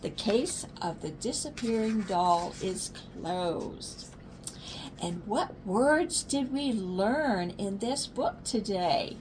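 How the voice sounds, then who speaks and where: A woman's voice: mid-range voice, animated, takes it slowly, background noise. An older woman, a grandmother, reading a children's story aloud expressively and explaining words to young listeners.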